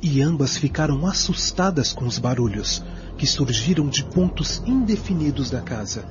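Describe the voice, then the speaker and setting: A man's voice: medium pitch, lively intonation, normal speed. A man reading scripted narration as the storyteller of a radio drama. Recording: dull recording with loud music.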